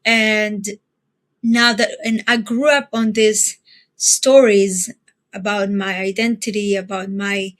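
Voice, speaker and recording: A young woman from Ethiopia, answering interview questions as a guest, earnest and pausing to repeat words as she looks for them. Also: medium pitch, flat delivery. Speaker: guest, educator and activist.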